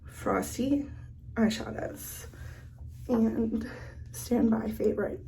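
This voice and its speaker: Sick woman with a hoarse, gravelly voice. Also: on the high side, takes it slowly, warm tone, slight background noise, low-quality recording. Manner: chatty, thinks aloud while applying makeup. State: sick and losing her voice. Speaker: beauty vlogger. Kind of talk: casual get-ready-with-me makeup chat.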